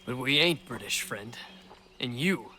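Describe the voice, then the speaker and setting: An actor playing a rough, suspicious colonial militiaman with blunt, folksy speech in a video game. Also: medium pitch, lively intonation, a little slow, sharp tone, rough recording. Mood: suspicious, hostile. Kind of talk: video game drama acting.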